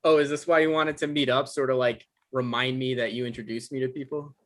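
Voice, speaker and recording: A man's voice: mid-range voice, fairly even intonation, a little fast, fairly bright tone, close to an everyday voice. A man playing an evasive, defensive guy in a table read, stammering and hedging in an awkward conversational way. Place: online live stream table read.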